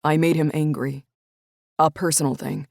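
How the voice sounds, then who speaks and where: Woman reading young male coach, subdued, regretful, quietly guilty. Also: deep voice, steady tone, normal speed, gravelly, dry recording, studio quality. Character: troubled young adult man, a guilty football coach. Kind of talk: audiobook character voice.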